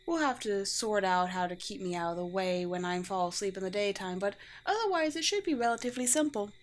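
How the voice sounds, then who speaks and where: A woman's voice: mid-range voice, lively intonation, a little fast, close to an everyday voice. Woman playing a courteous, teasing vampire queen, noisy background. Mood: gracious, playful. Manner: formal, old-fashioned, gently wry phrasing. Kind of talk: roleplay audio drama, in-character monologue. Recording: clear background noise with ambience.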